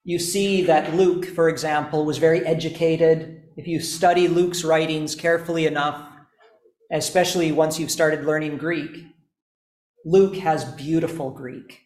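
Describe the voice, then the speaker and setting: A male teacher lectures slowly and deliberately, pausing between phrases. His voice sits a little high. The room around him is echoey. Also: steady tone, darkish tone. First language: not English, also speaks Estonian. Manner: slow, deliberate explaining with pauses. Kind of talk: classroom lecture.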